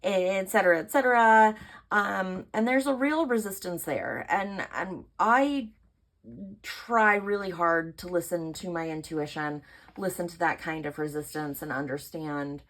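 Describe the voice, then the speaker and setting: Hoarse, chatty woman artist vlogging. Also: on the low side. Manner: chatty, thinking aloud with fillers. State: hoarse from allergies. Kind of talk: casual talk to camera.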